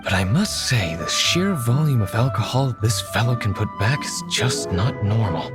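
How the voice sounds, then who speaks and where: A man doing a stern, deadpan winery owner. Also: mid-range voice, close to an everyday voice. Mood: dry. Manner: cool, measured, deadpan. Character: stern wealthy winery owner, dry wit. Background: game music.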